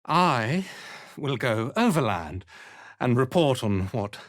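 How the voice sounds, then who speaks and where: An actor playing a haughty, ruthless cult commander in a video game, speaking in an imperious, deliberate, disdainful manner. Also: male voice, mid-range voice, very animated, no echo, studio quality. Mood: haughty, measured. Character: haughty, ruthless male cult commander, contemptuous slave driver. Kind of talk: video game character acting.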